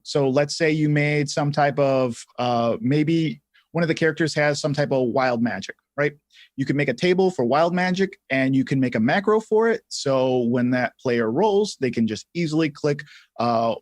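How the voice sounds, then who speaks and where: A man co-hosting a livestream, giving casual tutorial explanations in halting phrases with some repeats. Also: medium pitch, no room sound, clean studio sound. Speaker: livestream co-host.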